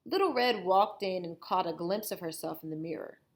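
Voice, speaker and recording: A woman's voice: on the low side, normal speed. A woman reading a storybook aloud as a teacher would, expressively, with brief asides to her listeners.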